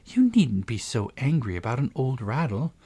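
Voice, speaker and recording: A man voicing a gentle, earnest and sensitive young girl from a storybook. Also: a little high, very animated, normal speed, breathy edge, voice pushed up, exaggerated voice. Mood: soothing.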